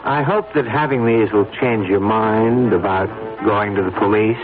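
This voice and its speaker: An actor playing a nervy, scheming blackmailer in a radio drama, grimly resigned and coolly deceitful. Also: male voice, on the low side, moderate pace, natural voice. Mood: earnest, uneasy. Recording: tinny vintage radio broadcast, music behind.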